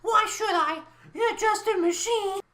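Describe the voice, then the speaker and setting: A woman acting as a self-conscious robopsychologist in her late thirties in a radio play, guarded and halting. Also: extremely high pitch, fairly bright tone. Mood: guarded, self-deprecating.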